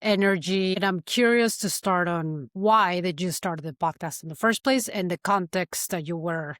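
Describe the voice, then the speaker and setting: A woman hosting a podcast interview, asking questions gently and affirming her guest. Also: fairly low pitch, moderate pace, dry recording, clean studio sound. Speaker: podcast host.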